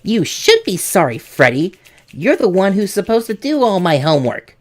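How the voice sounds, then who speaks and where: A woman's voice: medium pitch, sing-song, moderate pace, faint music behind. A woman voicing a cheeky, confident, wisecracking young boy in a cartoon comedy skit. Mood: indignant, sulky. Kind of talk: animated comedy skit voice acting.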